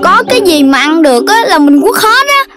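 A performer voicing an excitable, greedy young animal child in a children's cartoon, whiny and exclamatory, with a Southern Vietnamese accent. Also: extremely high pitch, a little fast, loud music behind the voice. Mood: defensive, eager.

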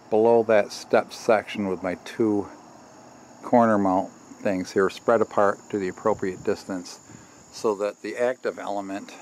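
A man's voice: lowish voice, a little mellow, a little hiss or hum, poor audio. A middle-aged man giving a casual, folksy hands-on how-to, thinking aloud as he works. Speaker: DIY workshop host. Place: outdoors by a house and in a barn workshop.

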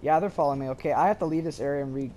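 A man from Canada giving casual gameplay commentary, excitable and swearing, a little hoarse from yelling. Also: a little high, racing, slight background noise. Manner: excitable, swears and yells at the game. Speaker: gameplay streamer.

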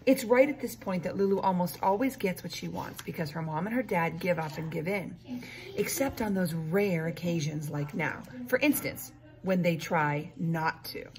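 A middle-aged woman reading a children's story aloud like a teacher, expressive and emphatic for young pupils. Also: fairly low pitch, normal speed. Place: small classroom, indoors. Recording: noticeable room noise on device mic.

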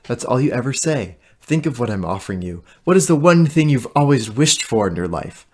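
Male shapeshifting fae tempter, played by a man, mid-pitched, seductive and taunting, for audio drama. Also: big pitch swings, fairly quick. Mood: menacing, tempting. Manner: coaxing, taunting. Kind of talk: audio play acting.

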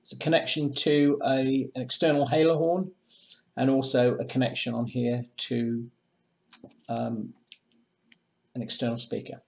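A man presenting marine electronics in a measured, matter-of-fact way, as in a semi-scripted product demonstration. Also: medium pitch, little pitch movement, vocal fry, a little mellow, a little hiss or hum. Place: indoors, small room with block walls at a table.

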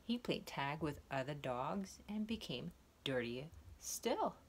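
Young female storyteller for a children's picture book, a bit low-pitched, expressive and playful, noisy indoor phone recording. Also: slow pace. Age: young adult. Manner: playful, expressive, exclaiming and questioning. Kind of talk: animated read-aloud storytelling for young children, with asides. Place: indoors, wood-ceilinged room.

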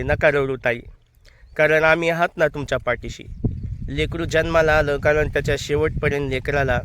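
A kindly man speaks softly and steadily to a grieving woman, reassuring her. A man plays him in his own voice. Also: a little high, a little hiss or hum, pitched below the performer's own voice, close to an everyday voice. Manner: gentle, reassuring. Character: kindly man consoling a widow.

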